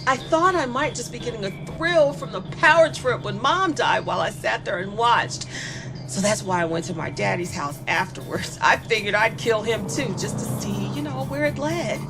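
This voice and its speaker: A woman's voice: fairly high pitch, natural voice. Woman acting flippant spoiled young killer, music behind. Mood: smug, flippant. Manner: sardonic, flippant, casually menacing. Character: spoiled bored young female killer, gleefully confessing. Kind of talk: dramatic monologue in horror audio fiction.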